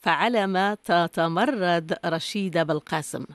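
A woman's voice: lowish voice, quick pace, no echo. A woman hosting an interview, asking fluent, admiring questions. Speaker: interview host. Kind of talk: interviewing a guest.

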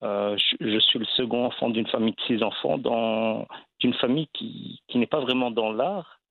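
A man's voice: medium pitch, moderate pace, dry recording. A man from Belgium, an actor and guest, telling his story in a casual interview with many fillers and restarts. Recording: dry, phone-like line.

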